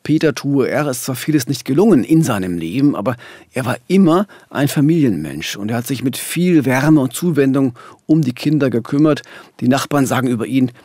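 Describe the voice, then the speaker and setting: A man's voice: medium pitch, animated, a little fast, dark tone, dry recording. A man co-hosting a true-crime podcast, telling the story at a close studio microphone. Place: small radio studio.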